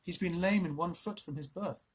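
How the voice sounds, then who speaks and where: A reader voicing a wise mother wolf from a storybook, quiet and measured. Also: medium pitch, breathy edge, voice pushed up, natural voice. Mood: calm. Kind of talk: storybook reading.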